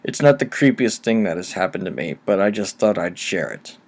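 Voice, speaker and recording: A man reading first-person horror stories aloud in a steady, low-key storytelling manner, recorded on a cheap microphone. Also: fairly quick, slight background noise. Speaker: narrator.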